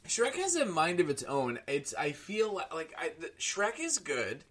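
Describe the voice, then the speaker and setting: A man's voice: fairly high pitch, wildly swooping pitch, a little slow, fairly bright tone. A man in his thirties chatting casually as a podcast co-host, rambling along with bursts of emphasis. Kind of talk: casual chat reviewing a TV show.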